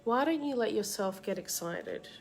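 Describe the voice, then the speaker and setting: A woman with a slight cold and a tickly throat, hosting a live card reading and giving soft, reassuring advice to viewers by name. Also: medium pitch, lively intonation, moderate pace, creaky, soft background music. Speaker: card reader and meditation host. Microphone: live-stream setup.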